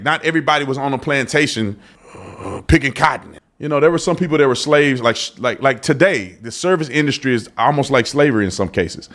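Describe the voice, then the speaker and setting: A man hosting a casual commentary show, talking emphatically between clips and repeating himself as he argues his point. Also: fairly high pitch. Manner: animated, emphatic, repeats phrases.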